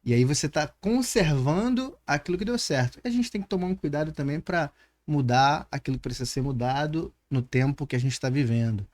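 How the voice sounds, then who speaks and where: A man's voice: on the high side, lively intonation, fairly quick, a little mellow. A man with a Brazilian Portuguese accent hosting a conversational podcast interview, reflective and building long questions through examples. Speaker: podcast host.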